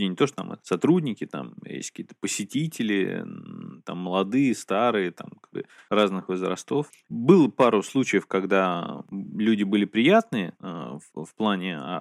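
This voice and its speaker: A man's voice: on the low side, vocal fry, dry recording, clean studio sound. A man chatting casually as a podcast co-host, telling stories in a rambling, think-aloud way full of fillers. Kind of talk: casual conversational storytelling.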